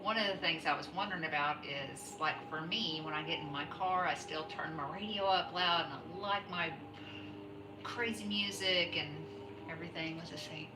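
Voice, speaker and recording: A woman's voice: low-pitched, normal speed, distant mic, noisy background. A middle-aged woman chatting casually while knitting on a craft livestream, rambling and interrupting herself often. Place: home. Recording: echoey room, voice off-mic, music behind.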